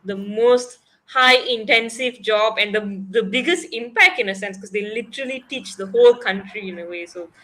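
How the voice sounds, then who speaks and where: A young woman hosting a casual interview over a video call, asking soft, encouraging questions. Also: on the high side, moderate pace, rough recording. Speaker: host.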